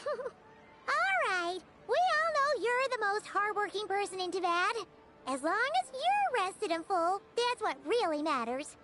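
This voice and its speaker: An extremely high, squeaky little fairy for a video game. The childlike voice bounces around with bubbly, indignant energy and chatters about herself by name. Loud music plays behind it. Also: female voice, lively intonation, unhurried. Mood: upbeat. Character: tiny hyper childlike fairy companion, talks in third person.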